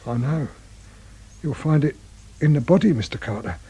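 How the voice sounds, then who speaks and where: An actor playing a muddled, frightened suspect in a radio drama, halting and pleading, with an English accent and a husky, rough voice. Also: male voice, a little high, expressive, dark tone, heavily acted, poor audio. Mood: anxious confessing. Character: frightened, muddled male petty suspect confessing to a killing.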